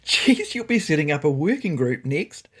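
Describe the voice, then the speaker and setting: A woman's voice: low voice, big pitch swings, moderate pace, husky, heavily acted. A woman voicing a pompous, opinionated male cat in a storybook, talking with mocking, derisive amusement.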